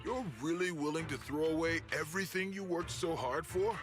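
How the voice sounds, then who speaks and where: A man's voice: on the high side, normal speed, close to an everyday voice. An actor playing a smug, menacing video game enforcer who taunts and talks down to his opponents. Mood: taunting. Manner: taunting, condescending. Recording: game music behind the voice. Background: game music.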